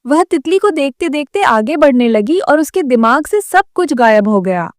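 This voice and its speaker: A woman's voice: high voice, big pitch swings, normal speed, no echo, studio quality. A woman narrating a scripted children's cartoon story.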